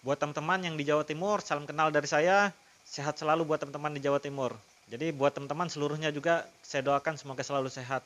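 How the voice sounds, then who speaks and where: A man narrating a horror story in a slow, savouring read-aloud, with his own commentary to viewers. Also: a little high, fairly even intonation, fairly bright tone. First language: not Javanese; Javanese not fluent. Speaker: storytelling content creator. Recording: music clearly behind the voice. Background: background music.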